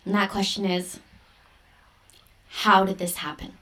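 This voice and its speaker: An actress playing an earnest, defiant young daughter in an audio drama, speaking with intense, deliberate, poetic phrasing. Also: female voice, medium pitch, flat delivery, bright, forceful tone. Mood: solemn, resolute. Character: earnest, defiant teenage daughter preparing for ritual role. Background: music under the voice.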